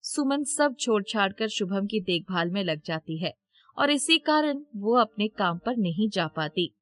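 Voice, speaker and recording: A woman's voice: medium pitch, lively intonation, measured pace, a bit bright, no room sound. A woman storyteller reading scripted narration for a moral tale.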